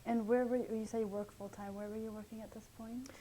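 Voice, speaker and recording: A young woman leads an oral history interview in a mellow voice, asking her questions tentatively with plenty of fillers. A faint low rumble runs beneath the recording. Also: level tone, a little fast.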